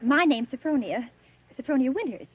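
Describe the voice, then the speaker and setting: High-voiced fluttery naive bride. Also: female voice, animated, normal speed, breathy edge. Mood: flustered, coy. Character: naive, gushing romantic young bride, increasingly uneasy. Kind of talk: old-time radio drama acting. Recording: dull, lightly noisy vintage radio recording.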